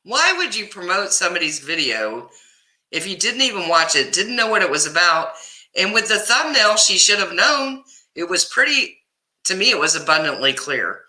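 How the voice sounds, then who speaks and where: A talkative woman with a Kansas accent hosts a live chat, greeting viewers by name and laughing easily. Her voice is very bright and rises and falls a lot. The room around her rings with echo. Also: on the low side, sing-song, normal speed. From Kansas, US Midwest. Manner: chatty, laughs often, answers viewers by name. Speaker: livestream host reading chat.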